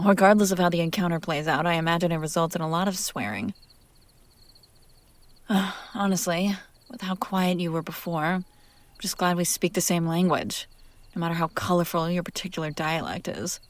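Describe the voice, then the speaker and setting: A woman's voice: fairly low pitch, no echo, lightly acted. A woman playing a possessive, sardonic and curious female demon in an intimate, wry audio roleplay spoken to the listener. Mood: wry, fond. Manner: teasing, wry, conversational, breaking into hushed whispers.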